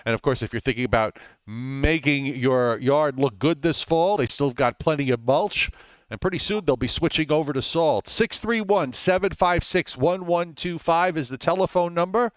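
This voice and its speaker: A man's voice: a little high, normal speed, clear, resonant tone, no echo, highs cut off. A man hosting a live weather show, chatting casually and teasing his co-host, with frequent fillers.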